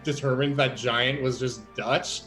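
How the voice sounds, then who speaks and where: A young man, telling stories animatedly and laughing often in a relaxed group chat. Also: mid-range voice, measured pace, loud music behind the voice. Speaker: player. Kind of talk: casual chat between game sessions.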